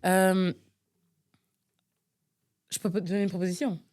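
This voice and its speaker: Lowish-voiced woman from Nigeria, exasperated. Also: little pitch movement, a little slow, darkish tone. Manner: exasperated, thinks aloud with many fillers. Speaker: podcast co-host. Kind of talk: casual chat, guessing game.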